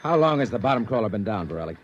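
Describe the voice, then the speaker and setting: An actor playing a brusque, harried army colonel who barks curt orders in 1950s radio drama style. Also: male voice, lowish voice, big pitch swings, very fast. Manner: curt, authoritative, barking orders. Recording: muffled, very noisy old radio recording.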